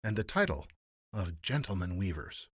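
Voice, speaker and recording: A man reading a fairy tale as a storyteller, in expressive, formal, theatrical narration. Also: low voice, normal speed, husky, no room sound, studio quality.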